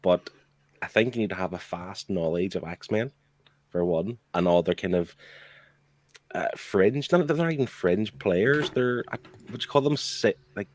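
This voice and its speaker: A man's voice: sits low, animated, normal speed. A man giving his opinions as a panelist in a casual, blunt, conversational way. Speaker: comic book show panelist.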